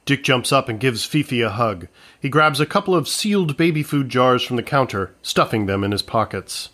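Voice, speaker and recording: A man narrates a scripted audio comedy. His voice is of middling pitch, and he reads in a measured, matter-of-fact manner. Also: expressive, fairly bright tone.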